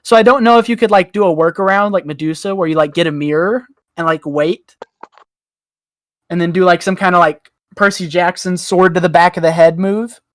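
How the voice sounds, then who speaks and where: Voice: mid-range voice, fairly even intonation, a little fast, no echo. A person chatting casually on a podcast panel, riffing and speculating in a joking, rambling way. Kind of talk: casual joking group chat. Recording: close dry voice over guitar music. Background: guitar music.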